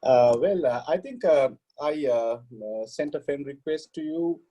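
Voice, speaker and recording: A man's voice: a little high, animated, unhurried, mellow. A man from Sri Lanka, a podcast guest on a video call, speaking hesitantly with many fillers. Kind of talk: casual video-call interview. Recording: dull lo-fi sound.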